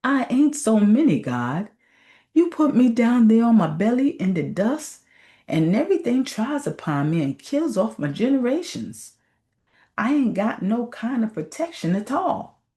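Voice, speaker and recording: A woman's voice: a little high, big pitch swings, unhurried, warm tone, pitched above the performer's own voice. A woman voicing a put-upon snake from a folktale, pleading plaintively in folksy Southern dialect. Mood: aggrieved pleading. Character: put-upon male snake pleading his case to God.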